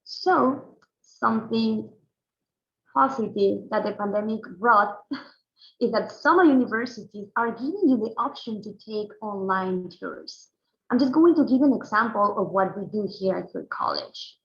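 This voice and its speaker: A woman from Ecuador speaks English, easy and informal, leaning on "like" as she walks through her points. Her voice carries a gravelly fry. The sound is dull and lo-fi, typical of a video call. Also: medium pitch, expressive, unhurried, fairly bright tone. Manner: informal, frequent "like" fillers. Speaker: international student advisor. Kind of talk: webinar presentation. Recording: dull lo-fi webinar audio.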